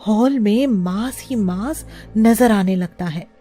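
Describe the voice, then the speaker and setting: A woman's voice: mid-range voice, slow, mellow, faint music behind. A woman narrating a scripted children's story as its storyteller.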